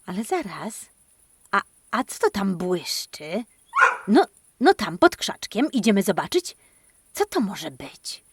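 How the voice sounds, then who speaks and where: A woman hosting a children's podcast, telling a playful interactive story in an animated, exclamatory way, speaking straight to kids and a dog. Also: mid-range voice, takes it slowly, pauses often, noisy background. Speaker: host of a children's speech-exercise podcast.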